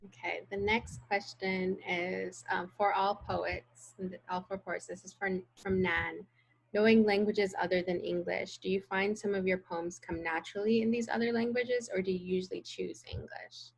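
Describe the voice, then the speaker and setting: Breathy woman moderating questions. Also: medium pitch, fairly even intonation, moderate pace, low-quality recording. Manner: plain, reading out audience questions. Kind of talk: moderating an online Q&A. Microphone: video call.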